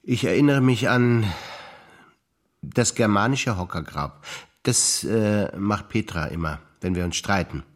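Deep-voiced older man reciting. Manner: measured, alternating memory lines. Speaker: stage actor. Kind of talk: theatre recitation of memories.

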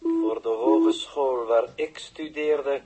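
An actor playing a cool, condescending space coordinator who speaks formally and precisely in a 1960s Dutch radio drama. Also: male voice, mid-range voice, slow, dark tone, husky. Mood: cool superiority. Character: cool, haughty male space coordinator, unflappable and superior. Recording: noisy, humming 1960s radio recording.

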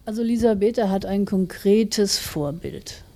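Woman in her 40s being interviewed, mellow voice, explains stuff. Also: mid-range voice, measured pace.

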